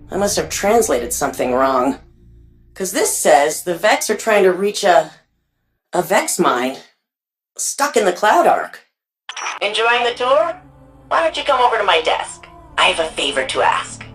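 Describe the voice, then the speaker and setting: A woman's voice: lowish voice, big pitch swings, a little slow, long pauses, over music. An actress playing a quirky, eager young woman archivist in a video game, chatty and informal with a wry, geeky manner. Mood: puzzled. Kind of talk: video game character dialogue.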